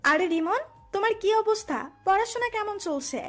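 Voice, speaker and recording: A woman's voice: extremely high pitch, expressive, moderate pace, soft background music. A woman playing a kindly female private tutor in an audio story, encouraging her student.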